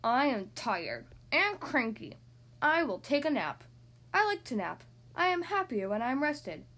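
A woman voicing Gerald, a tired and cranky male elephant, speaking slowly in a lower storybook voice. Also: big pitch swings, a bit bright, exaggerated voice. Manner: slow, drowsy and grumpy.

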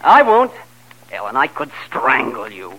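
An actor playing a flustered, evasive husband in old radio comedy style, stammering and blustering. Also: male voice, medium pitch, wildly swooping pitch, measured pace, highs cut off. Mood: exasperated. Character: flustered, evasive husband caught between two wives. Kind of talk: 1940s radio comedy acting. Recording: noisy old radio broadcast recording.

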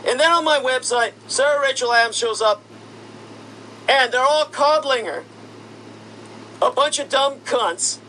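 A man's voice: very high voice, pushed and bright, a little hiss or hum, poor audio. A man delivering an indignant, accusatory monologue that builds into heated outbursts. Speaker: commentator. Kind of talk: monologue rant.

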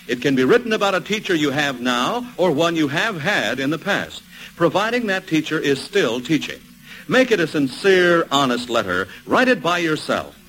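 An American man announces in scripted 1940s radio style. His voice sits a little high and moves with lively intonation. He delivers sales instructions briskly and clearly to the listener. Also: low-quality recording. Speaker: commercial announcer.

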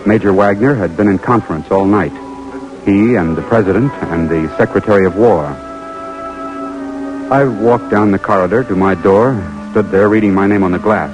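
An actor with an American accent playing an earnest, weary imprisoned army lieutenant in an old-time radio drama, speaking haltingly and intimately. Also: male voice, fairly low pitch, dull audio, lightly acted. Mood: weary, reflective. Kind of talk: 1940s radio drama acting, letter narration. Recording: vintage radio broadcast with music.

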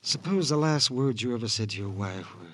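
An actor playing a distraught, bitter American husband in a 1950s radio drama, husky and agitated, repeating himself with emotion. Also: male voice, medium pitch, animated, fairly quick, a bit bright, natural voice. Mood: grieving, guilty. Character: distraught, guilt-ridden, bitter husband of a murdered woman.

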